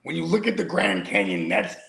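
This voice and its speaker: A man's voice: lowish voice, wildly swooping pitch, brisk, vocal fry, fairly bright tone. A man in his forties co-hosting a podcast, rambling through casual, profane banter and laughing often. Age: 40s. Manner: rambling, laughs often, says "you know what I mean". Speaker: podcast co-host.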